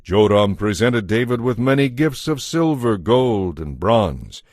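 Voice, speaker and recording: A fairly low-voiced man reads scripture as a narrator. His delivery is measured and solemn, in a scripted audiobook style. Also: sing-song, darkish tone, no room sound, clean studio sound.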